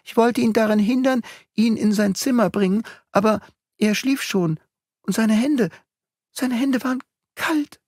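A man's voice: very high-pitched, measured pace, a little mellow, dry recording, studio quality, pitched above the performer's own voice, exaggerated voice. A man voicing a frightened young nursemaid in an audiobook, speaking in a breathless, pleading way. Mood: alarmed.

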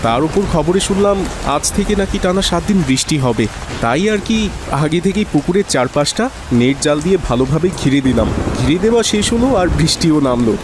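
A friendly cartoon villager, a devout and trusting fish farmer, played by a man. He talks earnestly in a fairly high male voice. The soundtrack is plainly noisy. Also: expressive, brisk, natural voice. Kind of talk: cartoon voice acting.

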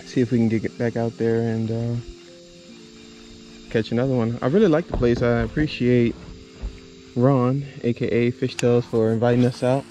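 A man's voice: medium pitch, fairly even intonation, normal speed, pauses often, mellow, soft background music. A man casually narrating and chatting as a fishing vlogger, recorded outdoors. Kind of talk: casual vlog narration and chat. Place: outdoors on a kayak on a lake.

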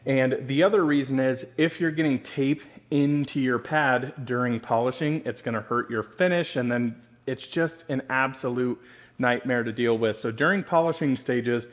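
A man's voice: mid-range voice, normal speed. A young man, a car detailer, explaining his polishing process to viewers in an enthusiastic, instructive tone. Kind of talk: casual explanatory vlog narration.

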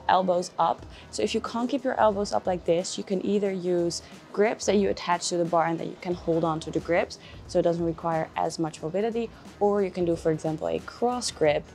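A woman's voice: on the low side, darkish tone. A woman in her twenties, chatting instructively in a casual fitness vlog. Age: 20s. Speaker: fitness vlogger and trainer. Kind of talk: casual vlog explaining exercises. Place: indoor gym, later a home kitchen. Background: music.

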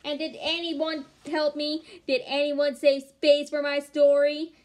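A woman voicing an indignant, fed-up little red hen in a storybook, speaking loudly and emphatically. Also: high voice, flat delivery, unhurried, pitched above the performer's own voice. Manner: loud, emphatic listing of complaints.

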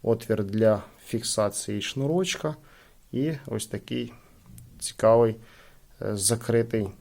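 A man giving a casual, hands-on product review, speaking haltingly as he thinks aloud. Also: lowish voice, vocal fry, darkish tone. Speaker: gadget unboxing reviewer.

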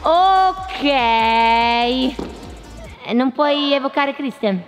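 A woman's voice: high-pitched, expressive, extremely slow. A woman vlogger hosting a gym challenge, casually teasing and cheering her friends on in a large indoor gymnasium. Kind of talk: casual joking chat. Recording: long reverb of a big gym hall, music.

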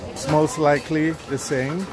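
A man giving casual, halting walk-through commentary as a vlogger, observing what is around him. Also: fairly high pitch, flat delivery. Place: large busy cruise ship buffet hall. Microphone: handheld camera or phone. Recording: background music and loud dining crowd. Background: music and crowd chatter.

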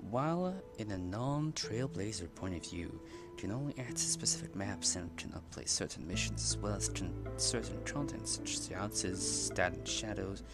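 A man with a fairly low, very animated voice gives casual game commentary. He rambles playfully as he reads along, with loud music behind him. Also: normal speed, few pauses. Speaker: game livestreamer. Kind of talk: casual gameplay commentary, reading on-screen text aloud.

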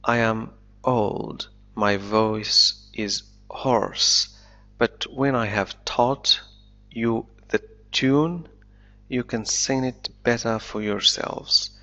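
A man teaching English, reading a novel aloud slowly and deliberately and explaining it line by line, an Arabic speaker. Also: medium pitch, bright, forceful tone. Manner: slow deliberate reading, then quick explanations. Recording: dull lo-fi recording.

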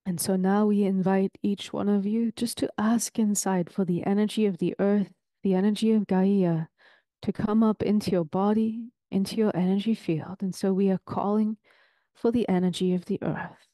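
A woman leading a guided meditation in a soothing, deliberate, ceremonial way, with long pauses between phrases. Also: mid-range voice, fairly even intonation, mellow, no echo.

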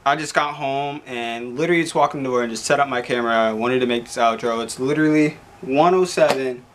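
A young man, tired and going hoarse, chatting excitedly to camera at home, rambling and calling out to his viewers. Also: mid-range voice, fast, noisy background. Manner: excited, rambling, repeats "guys". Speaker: vlogger. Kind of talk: casual talk to camera. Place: small room indoors, at home.

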